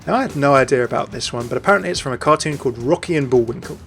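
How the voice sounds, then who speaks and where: A man's voice: mid-range voice, expressive, racing, vocal fry, fairly bright tone. A man hosting a video game lore video in scripted narration with chatty asides. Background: faint music.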